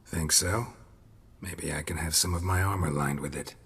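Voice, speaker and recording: An actor playing a gruff, terse middle-aged monster hunter in a video game, talking in a dry, clipped way. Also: male voice, low-pitched, normal speed, a bit bright, exaggerated voice.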